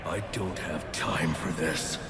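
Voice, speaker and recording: Grim game vigilante, bottomless low voice, terse threats, heavy reverb, loud music. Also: male voice, steady tone, slow. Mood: threatening. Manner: terse, stern, intimidating. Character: grim, threatening male masked vigilante detective. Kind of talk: video game cutscene acting.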